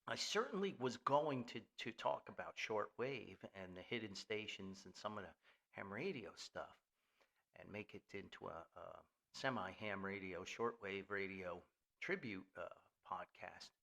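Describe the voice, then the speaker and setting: A man's voice: on the low side, lively intonation, a little slow, creaky, a bit bright. An older man hosting a casual, rambling podcast in a folksy, jokey manner. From Paterson, New Jersey, US. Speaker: podcast host.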